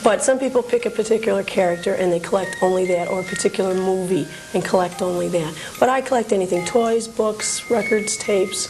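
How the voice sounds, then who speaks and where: A woman's voice: mid-range voice, brisk, few pauses, background noise. A woman speaking casually and conversationally as an interview guest. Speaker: interview guest, memorabilia collector. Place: public library.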